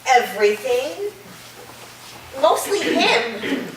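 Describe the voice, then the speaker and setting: A woman's voice: high-pitched, big pitch swings, extremely slow, crowd noise, heavily acted. A woman playing an uneasy, serious and skeptical college student with a plain, wary delivery in a staged reading. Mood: anxious. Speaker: actor in a staged play reading. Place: live staged reading in a reverberant room. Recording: echoey room, distant mic, faint noise.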